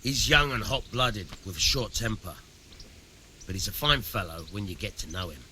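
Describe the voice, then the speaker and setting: An actor playing a shrewd miller who fences stolen goods, plain-spoken and avuncular, in a medieval video game. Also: male voice, fairly low pitch, lively intonation, normal speed, sharp tone, slight background noise. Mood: sly, approving.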